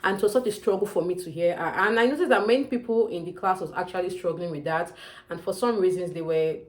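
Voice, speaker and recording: A woman's voice: fairly low pitch, fast talker. A young woman with a Nigerian accent, talking to camera in a chatty advice vlog and often calling out to her viewers. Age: young adult. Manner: chatty, emphatic, often calls out "you guys". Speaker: student vlogger.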